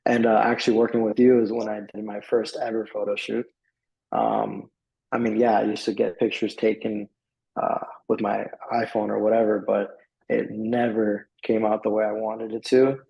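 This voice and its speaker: Young man with a low, gravelly, flat voice. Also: normal speed, highs cut off. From Miami, USA. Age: young adult. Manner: rambling, with many fillers and restarts. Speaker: client giving a testimonial. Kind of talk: casual interview talk.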